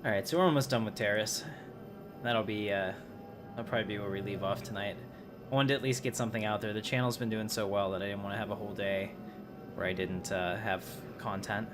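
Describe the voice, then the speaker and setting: A man's voice: fairly low pitch, fairly even intonation, brisk, over music. A man hosting a game livestream, chatting casually as he plays. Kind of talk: casual chat over gameplay. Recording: clearly noisy livestream recording.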